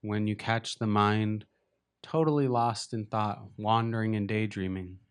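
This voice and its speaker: A man with a lowish voice guides a meditation. He speaks as a teacher giving simple instructions, gently and without hurry. Long pauses sit between his phrases. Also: fairly even intonation, clean tone.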